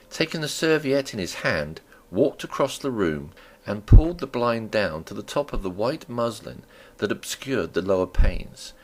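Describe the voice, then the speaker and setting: A male audiobook narrator with a fairly low voice. His literary storytelling is dramatic, with pitch that rises and falls widely through each sentence. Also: a bit bright.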